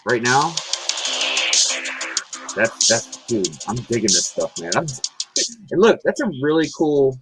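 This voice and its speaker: A man's voice: medium pitch, big pitch swings, measured pace, pauses often, over loud music. A man co-hosting a casual livestream chat, laid-back and saying "dude" and "man" a lot. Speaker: livestream co-host.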